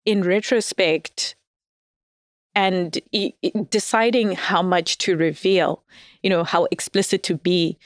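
A woman's voice: on the low side, fairly even intonation, takes it slowly, dry recording. Candid laughing woman guest, dry close mic. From Zimbabwe. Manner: laughs often, candid, hedging. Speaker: guest writer. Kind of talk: casual podcast chat.

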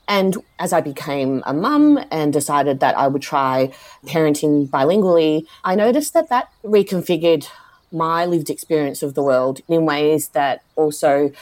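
A woman, an academic philosopher, giving thoughtful and articulate interview answers at an unhurried pace. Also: low-pitched, darkish tone, dry recording.

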